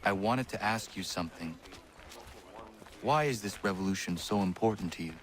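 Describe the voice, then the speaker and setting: An actor playing a stoic, blunt young warrior in a video game, speaking in a terse, formal, solemn manner. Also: male voice, lowish voice, moderate pace, natural voice. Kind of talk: dramatic video game acting.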